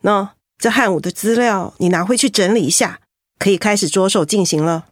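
An actress plays a canny, motherly female supervisor. She is brisk and probing with a fond scolding edge, medium in pitch, recorded very dry for radio drama. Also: no room sound, lightly acted. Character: shrewd, motherly female team leader, self-styled old fox.

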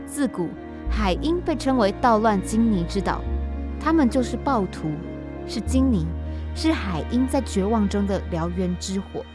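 A woman's voice: mid-range voice, animated, moderate pace. A woman with a Taiwanese Mandarin accent hosting a podcast and introducing it in a casual, explanatory way. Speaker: podcast host and scriptwriter. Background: background music.

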